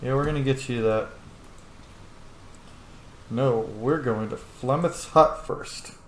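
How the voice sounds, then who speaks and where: A man's voice: medium pitch, slow, roomy sound, a little hiss or hum, poor audio. A man giving casual, sweary gameplay commentary, talking informally to the game's characters as he plays. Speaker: gamer, let's play host.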